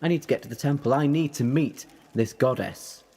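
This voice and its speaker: A man's voice: medium pitch, fairly quick, dark tone, breathy edge. A man playing an eccentric, defiant, youthful-looking time traveller in an audio drama, rambling in a playful, theatrical way. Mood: playful, flustered. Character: eccentric, whimsical, defiant time-travelling alien Doctor who looks young.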